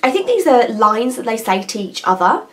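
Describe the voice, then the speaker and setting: A woman's voice: a little high, sing-song, moderate pace, a bit bright. Chatty woman talking nail polish. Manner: chatty, enthusiastic, rambling with hesitations. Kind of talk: casual chat to camera.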